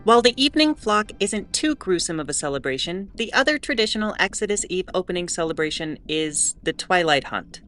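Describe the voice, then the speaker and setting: A woman playing a wry, mildly exasperated starship announcer in a scripted audio drama, explaining in a measured way with asides. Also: lowish voice, animated, a bit bright. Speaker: host.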